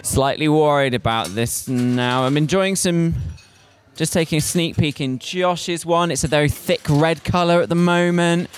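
A man's voice: on the high side, unhurried, clean tone. A man with an English accent hosting a radio segment, giving upbeat running commentary. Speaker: radio presenter.

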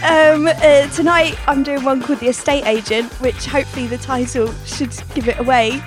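English female stand-up, playful and giggly, high pitch, music bed. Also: fairly even intonation, normal speed. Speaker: stand-up comedian. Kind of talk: quick-fire interview answers.

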